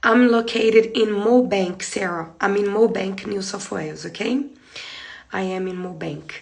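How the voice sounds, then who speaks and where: A woman in her thirties with a hoarse voice from a cold, chatting through a live hair tutorial from a small room on a close phone camera. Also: medium pitch, moderate pace. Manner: chatty, repeats points, gestures while talking. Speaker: hair treatment educator. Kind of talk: live-streamed tutorial talk. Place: small room indoors, seated at a desk. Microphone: phone camera close in front.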